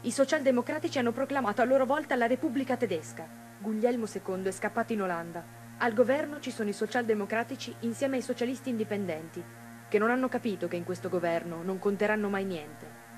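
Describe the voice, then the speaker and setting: A woman's voice: a little high, soft background music, natural voice, rough recording. A woman playing an urgent, loyal revolutionary comrade bringing news in a theatrical radio drama. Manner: urgent, reporting briskly.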